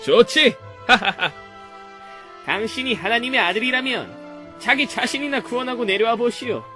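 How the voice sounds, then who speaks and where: A sneering Roman soldier, very high and sharp in voice. His taunts swing widely and gleefully, in exaggerated children's Bible drama style. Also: expressive, measured pace, faint music behind, exaggerated voice. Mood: mocking glee.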